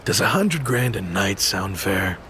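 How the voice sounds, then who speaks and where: An actor playing a friendly, teasing homeless man in a video game dub, with a gravelly American voice. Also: on the low side, animated, unhurried, pushed and bright, slight background noise. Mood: jovial, teasing. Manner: teasing, folksy.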